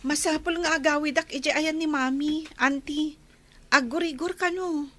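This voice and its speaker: A woman's voice: high voice, steady tone, moderate pace, fairly bright tone, no echo. A woman playing a dutiful, anxious young daughter in an Ilocano radio drama, earnest and pleading, with an Ilocano Filipino accent. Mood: anxious, firm.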